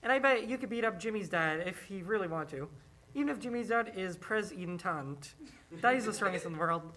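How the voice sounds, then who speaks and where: A woman's voice: medium pitch, expressive, a little fast, crowd noise, close to an everyday voice. A woman playing an innocent, trusting young girl in an acted script reading, speaking with simple childlike reassurance. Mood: proud, innocent.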